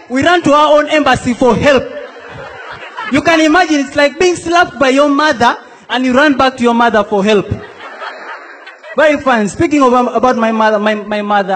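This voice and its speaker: A man's voice: very high-pitched, fairly bright tone, big-room sound, a little hiss or hum. A young man from South Sudan performing a stand-up comedy set, playfully acting out the people in his stories. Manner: playful, acts out quoted characters. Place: comedy stage in a large hall before a laughing audience.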